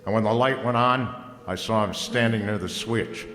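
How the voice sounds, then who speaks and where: A man acts out a wry, hard-boiled private eye from a radio detective play. His voice sits low and carries through a reverberant hall. He tosses off wisecracks as he tells his case. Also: moderate pace, a bit bright, close to an everyday voice. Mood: dry, knowing. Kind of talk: old-time radio detective drama, scripted. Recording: echoey hall, long reverb, faint music.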